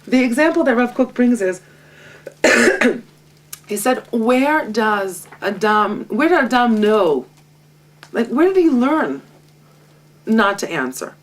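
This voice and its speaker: A woman, a Hebrew speaker, teaching a Torah lesson with a lively, self-mocking manner and frequent laughter. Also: on the high side, slow, long pauses. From Israel. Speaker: guest teacher. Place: home library. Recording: distant mic.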